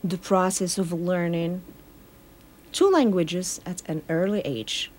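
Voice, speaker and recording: Earnest woman, slightly low voice. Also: fairly even intonation, takes it slowly, darkish tone, a little hiss or hum. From Morocco. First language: Arabic. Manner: earnest, explaining with repeated phrases. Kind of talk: casual radio chat.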